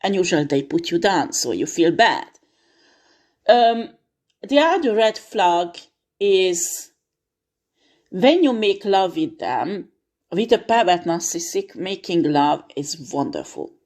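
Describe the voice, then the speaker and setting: Slow-talking woman giving frank advice. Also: lowish voice, big pitch swings, darkish tone. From not France. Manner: earnest, frank, many fillers and restarts. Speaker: tarot reader. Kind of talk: direct-to-camera advice talk.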